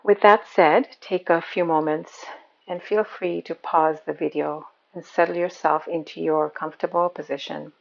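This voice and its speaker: A woman leads a guided meditation in a calm, soothing way. Her voice sits on the low side and has a strong creak of vocal fry. The recording is a little dull and muffled, with a lo-fi sound. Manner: calm, soothing, unhurried instruction. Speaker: yoga nidra meditation guide.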